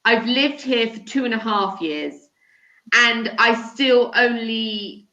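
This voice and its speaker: A woman's voice: a little high, takes it slowly, pushed and bright. A middle-aged woman from England with an English accent, chatting casually on a video call as a conversation partner. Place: home in Turkey. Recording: echoey room, dull lo-fi sound.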